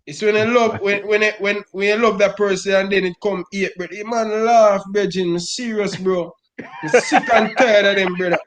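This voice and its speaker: A man with a high, lifted voice and a thick Jamaican Patois accent. He's a middle-aged football fan on a rant, pressing every point hard and tacking "brother" onto nearly every phrase. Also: fairly even intonation, moderate pace, fairly bright tone, a little hiss or hum. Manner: emphatic, repeats "brother" constantly.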